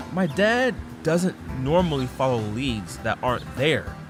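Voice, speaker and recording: A man's voice: medium pitch, big pitch swings, takes it slowly, over music. A man playing a detective's curious, easygoing young son in an audio drama, talking casually and a little hesitantly. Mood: thoughtful, intrigued.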